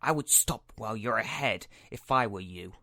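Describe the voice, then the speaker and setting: A man's voice: on the low side, lively intonation, normal speed, bright, forceful tone, no room sound, studio quality. A man acting as an arrogant, menacing Sith master in a sci-fi roleplay, with a threatening, imperious manner. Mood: angry, threatening. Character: arrogant, hot-tempered Sith lord master, menacing and domineering.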